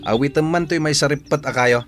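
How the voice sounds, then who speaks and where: A man's voice: on the high side, brisk, music in the background, lightly acted. A man with an Ilocano accent playing a bossy, taunting young village bully in an audio drama.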